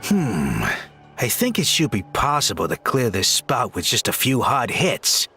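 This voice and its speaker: A man's voice: mid-range voice, huge pitch swings, measured pace, music in the background. A man voicing a nervous, hesitant young worker in a video game. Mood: hesitant, wary. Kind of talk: video game character dialogue.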